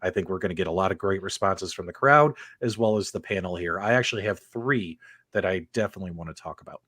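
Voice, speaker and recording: A man's voice: deep voice, fast, fairly bright tone, dry recording, studio quality. A man hosting a casual live-stream panel show, chatting informally and teasing his guests. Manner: jokey, teasing, addresses guests and viewers informally. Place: remote online video call.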